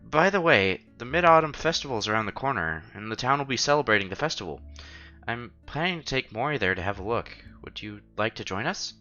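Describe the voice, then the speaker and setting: A man streaming a visual novel, reading the story aloud with casual, playful, expressive commentary. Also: medium pitch, fairly quick. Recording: dull lo-fi sound, music behind.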